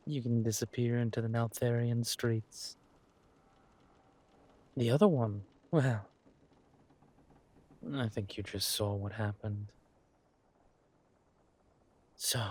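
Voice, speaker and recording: A man playing a menacing, sadistic king who speaks with silky politeness and a deliberate, theatrical, taunting delivery in a dramatic roleplay. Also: mid-range voice, mellow, breathy edge. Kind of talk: dramatic villain roleplay audio.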